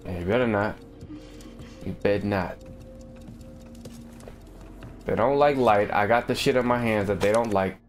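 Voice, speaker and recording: A young man talks through a horror game in loose, slangy, profane bursts. He gets excitable at the action, and faint game music runs behind him at home. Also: on the low side, measured pace, pauses often. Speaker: gaming streamer. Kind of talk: casual let's-play commentary.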